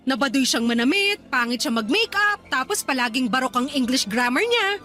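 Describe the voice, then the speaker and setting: A woman's voice: high-pitched, sing-song, normal speed, sharp tone, soft background music, exaggerated voice. A woman playing a smug, spiteful young coworker in a radio drama, taunting and unapologetic. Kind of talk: Tagalog radio drama acting.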